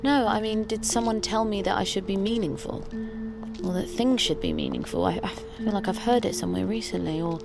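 A woman's voice: mid-range voice, very animated, fairly quick, gravelly, over music. An actress playing an eager, perfectionist female estate agent and yoga teacher, earnest and performatively gracious, in an audio drama. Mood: earnest, puzzled.

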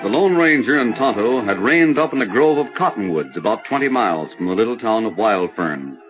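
A man's voice: on the low side, expressive, fairly quick, over music, close to an everyday voice. A man playing a stirring, declamatory announcer who narrates a heroic western in old-time radio style. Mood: storytelling. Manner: dramatic, declamatory. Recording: muffled vintage radio recording.

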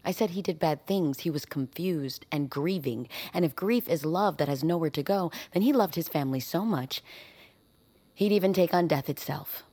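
A woman acting a weary, defiant survivor under interrogation in a naturalistic audio drama, firm and measured. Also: low voice, warm tone. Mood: defensive, earnest.

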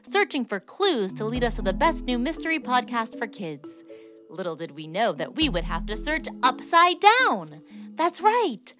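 Woman, highish voice, swooping intonation, theatrical kids' promo style, prominent music behind. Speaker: promo announcer. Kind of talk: dramatic scripted trailer for a children's mystery podcast. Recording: loud music under voice.

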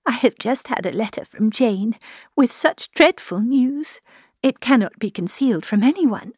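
A woman voicing an agitated, breathless young gentlewoman in anguish, in a storybook reading. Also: a little high, slightly rough, dry recording, studio quality, pitched above the performer's own voice. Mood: distressed. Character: distraught young gentlewoman, anguished and self-reproaching.

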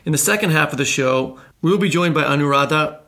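A man's voice: a little high, fast talker, bright, forceful tone. A man co-hosting a podcast interview, asking loose, rambling questions. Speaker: podcast co-host.

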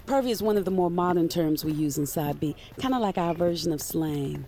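An actress plays a woman who mentors a newcomer to a hidden magical world. Her voice sits low. She is brisk and playful, prompting and teasing in audio drama dialogue. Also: mellow, a little hiss or hum, natural voice. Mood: playful, approving.

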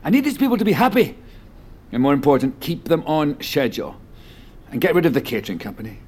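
An actor playing a controlling, smooth wealthy boss in an audio drama, speaking with measured, condescending authority. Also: male voice, a little high, big pitch swings, darkish tone. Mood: commanding.

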